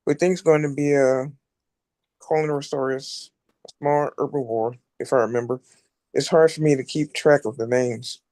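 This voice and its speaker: A man reads the part of a geneticist in his forties. He explains plainly in a medium-pitched voice, recorded dry, for a drama read-through. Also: steady tone, normal speed, darkish tone, dry recording, lightly acted. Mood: matter-of-fact. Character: forty-ish male chief geneticist, matter-of-fact scientist. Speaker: amateur actor at a table read. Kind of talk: script read-through acting.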